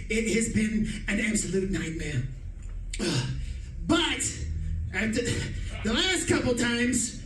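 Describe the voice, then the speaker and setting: A man's voice: very high voice, fairly bright tone. A man playing an exasperated, boastful old-time radio detective who rants theatrically about his misadventures in a comedic drama. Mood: overwrought. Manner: theatrical, ranting, emphatic. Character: exasperated, boastful male 1940s-style detective, dramatically rattled time traveler. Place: live stage performance in an echoey room. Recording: echoey room, distant mic, faint noise.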